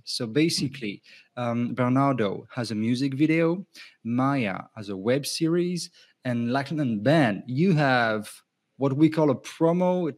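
A man's voice: mid-range voice, a little mellow. A man, hosting a festival panel over a video call and speaking in a friendly way with many fillers. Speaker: festival host and panel moderator. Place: home room. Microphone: earphone headset on video call.

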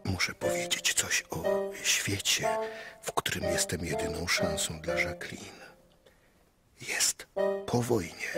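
A man's voice: low-pitched, exaggerated voice. An actor playing an earnest, troubled male storyteller in a radio drama, speaking intimately and pleadingly.

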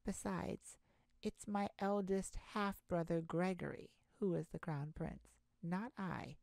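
Woman playing a slow, creaky-voiced young princess. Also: on the low side, darkish tone, no echo. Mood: weary. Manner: formal, measured, wistful storybook speech. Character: weary, proud, guarded young half-blood princess. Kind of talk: scripted ASMR roleplay audio drama.

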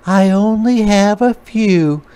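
A man's voice: sits high, slow pace, mellow, clean tone, voice pushed up. A man voicing a small, polite young female spider in an earnest, pleading way for a storybook. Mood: distressed.

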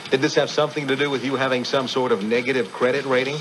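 A man's voice: mid-range voice, fairly even intonation, quick pace, background noise. A man reading a hard-sell radio advertisement as an announcer, emphatic and questioning. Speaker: commercial announcer. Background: music.

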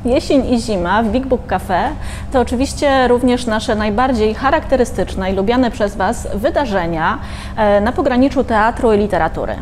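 A woman's voice: on the high side, normal speed, a little mellow, a little hiss or hum. A middle-aged woman announcing events with a hesitant delivery full of fillers, seated in a bookshop cafe. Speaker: event host. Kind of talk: promotional announcement.

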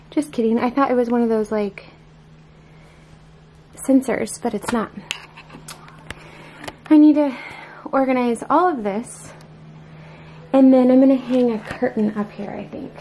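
High-voiced American woman vlogging casually. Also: mellow, low-quality recording. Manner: informal, meandering, thinking aloud while tidying. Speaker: vlogger, mother preparing for a baby. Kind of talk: casual vlog chat to viewers. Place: inside a home, moving between rooms. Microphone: handheld camera mic. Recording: handheld camera with clear background noise.

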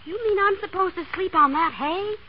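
A woman's voice: very high voice, moderate pace. An actress playing a pampered, plaintive, spirited young woman in a 1930s radio drama. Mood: plaintive, indignant. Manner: plaintive, questioning. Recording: dull, noisy 1930s radio recording.

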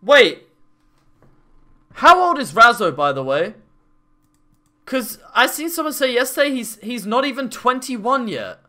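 A man's voice: extremely high pitch, sing-song, measured pace, long pauses, pushed and bright. A man in his twenties reacting casually on a stream, bantering loosely and profanely with his chat over a headset mic. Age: 20s.

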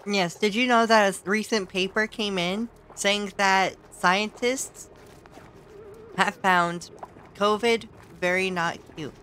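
A playful woman chats through a gameplay stream, laughing and exclaiming at what happens on screen. Her voice is slightly hoarse and sore, sitting at an average pitch. A faint background hum runs under her desk mic. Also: steady tone, slow, a bit bright. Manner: giggly, playful, exclaims at the game. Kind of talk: casual gameplay commentary.

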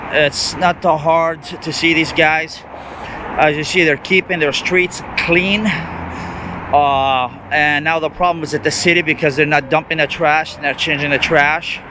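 A man's voice: a little high, fairly even intonation. Man vlogging on a noisy street. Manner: rambling, halting, with ungrammatical phrasing. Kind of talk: walking street vlog commentary. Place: city sidewalks outdoors. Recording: outdoor street noise.

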